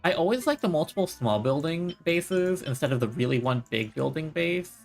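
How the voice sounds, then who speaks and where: Very low-voiced woman streaming casually. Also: lively intonation, moderate pace, creaky, darkish tone. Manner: musing aloud, casual. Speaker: game streamer. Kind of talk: casual live gameplay commentary. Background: game music.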